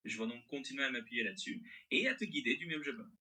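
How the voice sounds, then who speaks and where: A man's voice: medium pitch, fast talker, bright, forceful tone. A male AI assistant voice with a mixed French accent and American-sounding intonation, replying in an agreeable, accommodating, conversational way.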